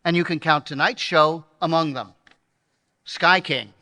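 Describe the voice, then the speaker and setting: A man's voice: a little high, measured pace, pushed and bright. A man presenting a live show to an audience as a member of a radio troupe, with emphatic, showman-like phrasing. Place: live stage at a banquet hall. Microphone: stage microphone. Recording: reverberant hall sound.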